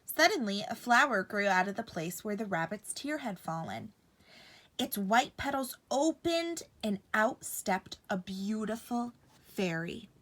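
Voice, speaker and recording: A woman narrating a bedtime story for children in an expressive storytelling style. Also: mid-range voice, unhurried.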